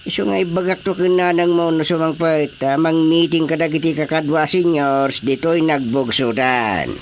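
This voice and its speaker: A man's voice: fairly high pitch, steady tone, normal speed, music in the background, lightly acted. Actor as a joking old Ilocano village chairman. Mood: informative. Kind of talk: Ilocano radio drama comedy acting.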